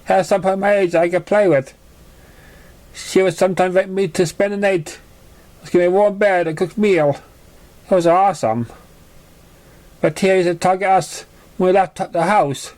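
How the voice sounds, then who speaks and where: A young pony girl acted out by a man speaks in a high voice, halting and confessional. She is an orphan, wounded but plucky, telling her own story in a fan-made storybook reading. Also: fairly even intonation, a little mellow, lightly acted. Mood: hurt, confiding. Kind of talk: amateur fan fiction reading.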